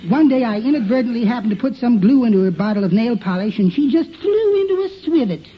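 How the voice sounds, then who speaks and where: An actor playing a meek, prim, henpecked little husband in a 1950s American radio comedy. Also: male voice, very high voice, animated, quick pace. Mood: meekly aggrieved. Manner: prim, genteel phrasing. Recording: dull, noisy 1950 radio recording.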